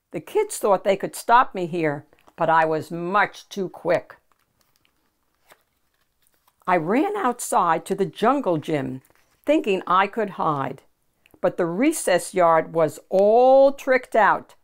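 An older woman reads a children's picture book aloud in an animated, deliberate storyteller's manner. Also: mid-range voice. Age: older, 60s or 70s. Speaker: children's storybook reader and host.

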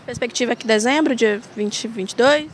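Young female studio news reporter, high pitch, steady and explanatory. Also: normal speed, slight background noise. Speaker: political reporter.

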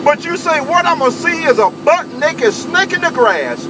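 Southern male CB operator, very high-pitched, animated and teasing, repeats his lines, clear radio noise. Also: moderate pace. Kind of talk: bantering CB radio chat. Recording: very noisy CB radio transmission.

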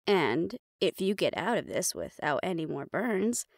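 A woman's voice: fairly low pitch, very animated, measured pace, gravelly, no echo, clean studio sound, exaggerated voice. A woman playing a tough, threatening young supernatural woman in an audio roleplay, blunt and sardonic. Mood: menacing.

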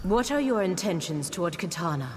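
An actress playing a stern, loyal warrior bodyguard with a firm, guarded, defiant manner in a video game. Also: female voice, on the low side, animated, fairly quick, heavy background noise, lightly acted. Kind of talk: video game fighter intro dialogue. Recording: long cavernous reverb, music behind.

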